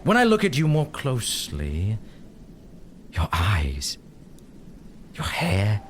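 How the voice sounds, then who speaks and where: A bitter older knight of an audio drama, proud and contemptuous. The voice swings widely between theatrical bluster and low menace, with faint ambient noise behind it. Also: male voice, wildly swooping pitch, takes it slowly, exaggerated voice. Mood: menacing, contemptuous.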